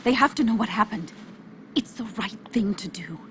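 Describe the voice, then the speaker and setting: An actress playing an earnest, principled journalist in a video game drama, speaking in an emotional, pleading way. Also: female voice, medium pitch, moderate pace, fairly bright tone, a little hiss or hum, natural voice. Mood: hurt, firm. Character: earnest, principled female journalist, anxious but loyal friend.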